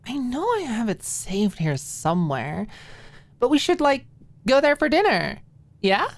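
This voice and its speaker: A bubbly young woman played by a female narrator in an audiobook. Her voice sits high and swings around freely as she gushes in casual, breezy, chatty phrases. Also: big pitch swings, measured pace, no echo. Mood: breezy, upbeat. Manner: casual, gushing, peppered with filler "like". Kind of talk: audiobook character dialogue.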